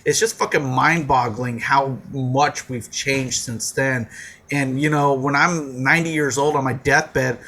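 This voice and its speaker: A man's voice: mid-range voice, fairly even intonation, measured pace, a bit bright. A man in his forties co-hosting a comedy podcast, rambling with lots of fillers and swearing. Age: 40s. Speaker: podcast co-host. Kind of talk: casual comedic chat.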